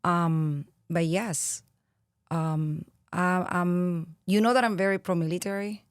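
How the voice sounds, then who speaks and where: A woman in her thirties from Venezuela with a Venezuelan Spanish accent, a podcast guest speaking earnestly and reflectively, pausing often with fillers. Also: on the low side, steady tone, clean tone, no room sound, clean studio sound. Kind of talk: interview conversation.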